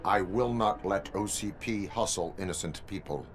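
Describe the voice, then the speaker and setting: An actor playing a cyborg police officer who speaks in a flat, clipped, procedural way. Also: male voice, sits low, moderate pace, slight background noise, lightly acted. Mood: stern.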